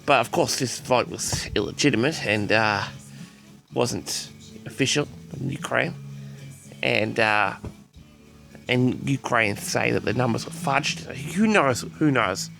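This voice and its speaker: Creaky-voiced man rambling through an audio log. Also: mid-range voice, slow pace, fairly bright tone, faint music behind. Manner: hesitant, repetitive, often addresses listeners directly. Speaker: host of a personal audio log. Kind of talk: rambling casual monologue to audience.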